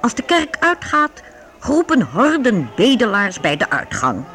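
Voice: medium pitch, wildly swooping pitch, measured pace, fairly bright tone, a little hiss or hum. A narrator reading scripted storytelling for a radio play aimed at young listeners.

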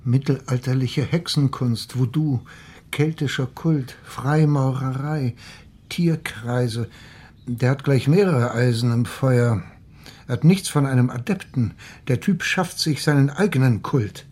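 An actor playing a learned, grumpy police inspector with a husky voice and a dry, sardonic manner in a radio crime drama. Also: male voice, mid-range voice, darkish tone. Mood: musing, sardonic. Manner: dry, grumbling, deliberate.

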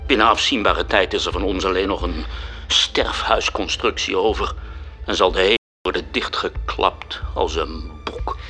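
A deep, pompous heavenly cherub. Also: male voice, moderate pace, sharp tone, husky, full character voice. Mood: grave, musing. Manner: pompous, theatrical. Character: lofty, impatient male cherub, heavenly superior. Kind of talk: radio drama acting. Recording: music behind the voice. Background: music.

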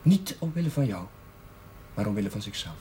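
An actor playing a gentle, flustered, self-mocking young village priest in a television period drama, speaking hesitantly. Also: male voice, lowish voice, very animated, fairly quick, a little hiss or hum. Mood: subdued, earnest. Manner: hesitant, stammering. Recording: music behind, 1970s television soundtrack.

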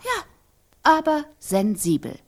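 An actress playing an insistent, exacting scriptwriter who invents a tragic love scene in a stylised radio play, speaking deliberately and precisely. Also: female voice, high voice, huge pitch swings, vocal fry, full character voice. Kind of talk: stylised radio drama acting.

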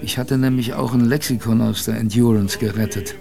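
A man playing a plainspoken expedition survivor, telling his story in a wry, colloquial, gravelly radio drama narration. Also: mid-range voice, fairly even intonation, a little fast, crowd noise, lightly acted. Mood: wry, reflective. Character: plainspoken male polar expedition survivor recalling hardship, wry.

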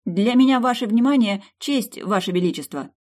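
A narrator voicing a bookish young man in a storybook, earnest, excitable and bashful. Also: medium pitch, brisk, voice pushed up, natural voice. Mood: nervous, earnest.

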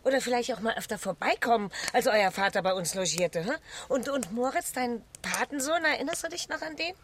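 An actress plays a stressed wife and mother in her forties in a radio play. Her voice is on the high side, with noise in the background. She is sharp-tongued and reproachful, often sarcastic. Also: expressive, brisk, lightly acted. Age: 40s. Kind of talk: radio drama acting.

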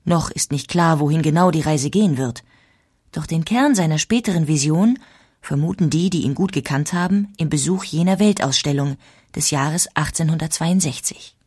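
A woman narrating a historical audiobook in a measured, formal way. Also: deep voice, darkish tone, husky. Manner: measured, formal documentary delivery.

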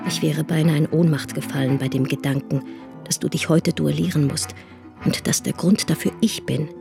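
An actress playing a devoted, despairing woman in love, speaking a farewell letter in a breathy, intimate voice for a radio play. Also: sits low, steady tone, moderate pace, music in the background. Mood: anxious, pleading. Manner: intimate, pleading.

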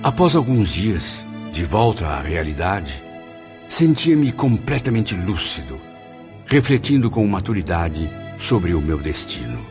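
A remorseful, reflective spirit. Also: male voice, sits low, big pitch swings, a little slow. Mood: solemn. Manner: reflective, solemn narration. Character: remorseful man, former suicide, recovering spirit. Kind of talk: radio drama acting and first-person narration. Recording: radio drama with clear music underneath.

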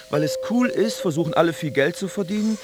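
Actor as higher-voiced, tired thirtysomething man. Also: animated, moderate pace, over a noisy crowd, natural voice. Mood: weary disillusion. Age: 30s. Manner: dry, laconic. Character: tired, disillusioned office man in his thirties. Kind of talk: radio play monologue. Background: music.

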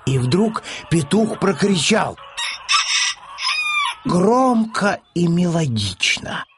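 A man narrating a children's audiobook in an expressive, scripted storytelling style. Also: high voice, drawn-out words, loud music behind the voice.

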